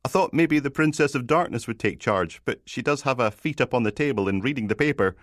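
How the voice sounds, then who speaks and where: A man's voice: medium pitch, animated, a little fast, no room sound, studio quality, voice pushed up, lightly acted. A man voicing an eager, sheepish young male police constable in an audiobook, chirpy, hesitant and deferential. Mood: chatty.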